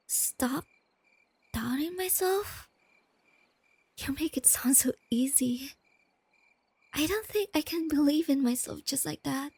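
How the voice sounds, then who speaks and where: Female actor as timid, bookish young girlfriend for ASMR roleplay; soft and affectionate, creaky edges, close dry sound. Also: high voice, dry recording, heavily acted. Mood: bashful, doubting. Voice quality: soft, breathy, often whispery. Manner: hesitant, tender, halting. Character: shy, nerdy, self-doubting young girlfriend, gentle and affectionate.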